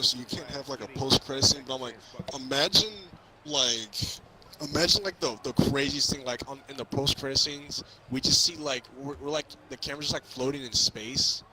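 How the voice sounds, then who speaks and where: A man's voice: medium pitch, low-quality recording. A young man in a casual fan chat about a movie, talking in a rambling, repetitive way with lots of filler "like". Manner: rambling, repetitive, heavy use of "like". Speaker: co-host of a movie review.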